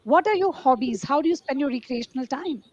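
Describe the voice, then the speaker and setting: A woman hosts an interview in a high voice. She speaks politely and affirms her guest's answers. The sound is dull and muffled. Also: expressive, a little fast, a little mellow, slight background noise. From India. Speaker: interview host. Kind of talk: interview questions.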